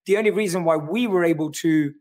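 A man's voice: a little high, brisk, a little mellow. A man from London with a London accent, an author explaining his ideas in an earnest, reflective way during a livestream conversation. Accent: London English. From London, England. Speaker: author and guest.

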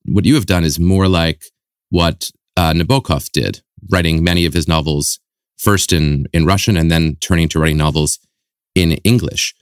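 A man hosting a podcast, reading a scripted introduction and asking interview questions in a measured, academic way. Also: low voice, smooth, no room sound, studio quality. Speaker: podcast host.

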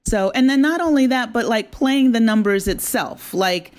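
A woman's voice: fairly high pitch, expressive, normal speed. Earnest woman explaining in an interview. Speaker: interview guest, audio drama writer. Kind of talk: conversational interview.

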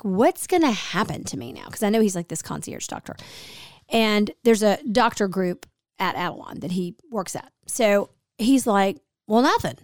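Chatty woman with a slightly gravelly voice. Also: mid-range voice, no echo, studio quality. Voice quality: gravelly, hoarse. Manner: chatty storyteller, acts out quoted dialogue. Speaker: podcast host. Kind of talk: casual chat.

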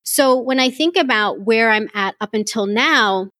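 Woman host, life coach, fairly high voice, candid and animated, dry close sound. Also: unhurried, no echo. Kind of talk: live audio chat answering questions.

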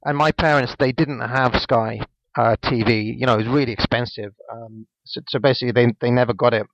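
A man's voice: mid-range voice, fairly even intonation, a little fast, no echo. Welsh man in his twenties, hesitant. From Wales. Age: 20s. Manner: hesitant, many ums and restarts. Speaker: podcast co-host. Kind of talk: casual podcast chat. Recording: dull lo-fi dry sound.

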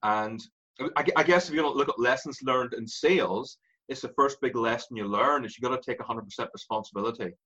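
A man in his forties with an Irish accent, a guest telling his story in an animated way and laughing through it. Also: mid-range voice, fairly quick, breathy edge, muffled recording. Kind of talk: candid interview storytelling.

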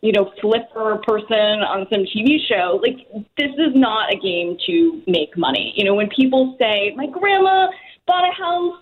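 A woman talks money on a talk show, with a voice pitched a little high. She is chatty and loose, and she leans on fillers. The recording sounds dull and lo-fi. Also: lively intonation. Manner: quick and chatty, many "like" and "you know" fillers. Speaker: personal finance expert guest. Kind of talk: casual talk show chat.

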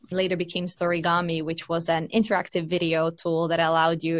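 A woman from Finland, a venture capital partner, talking through her background in a relaxed interview, with frequent fillers and restarts. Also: on the low side, no room sound. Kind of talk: conversational podcast interview.

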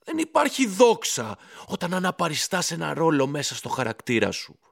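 A man acts out a jovial older drinker in a radio play. His high, creaky voice swings widely, with emphatic, theatrical exclamations of admiration. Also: wildly swooping pitch, heavily acted. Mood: admiring, excited.

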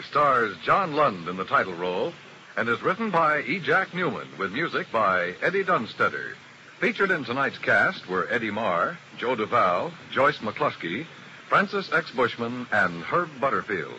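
A man's voice: medium pitch, sing-song, measured pace, bright, forceful tone, a little hiss or hum, low-quality recording. A man announcing credits and upcoming shows in formal 1950s radio style. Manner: formal, crisp announcer delivery.

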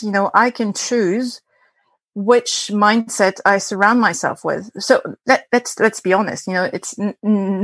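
A woman's voice: mid-range voice, dry recording. Chatty French-accented woman, very dry sound. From France. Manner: chatty, laughing, many restarts and fillers. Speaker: guest data scientist. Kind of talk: casual interview.

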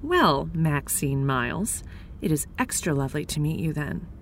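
A courteous woman from New York, newly arrived, in an audio drama. Her voice sits on the low side and moves expressively, with gracious, slightly formal phrasing over faint background noise. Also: very animated, normal speed.

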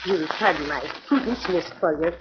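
A woman's voice: deep voice, normal speed, background noise, natural voice. An actress playing a poised, scheming aristocratic woman who speaks formally, in a 1940s radio drama with a lo-fi sound. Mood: poised, probing. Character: smooth, scheming aristocratic female crook.

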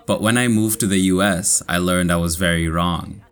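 Young Kenyan man, very low voice. Measured, clear scripted storytelling. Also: steady tone, fairly bright tone. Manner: slow, clear, measured reading. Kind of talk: scripted personal story for learners.

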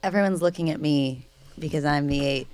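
Low-voiced woman in her twenties. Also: fairly quick, darkish tone, no echo. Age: 20s. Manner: dry, wry one-liners. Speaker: guest, host's daughter. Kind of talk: casual family podcast chat.

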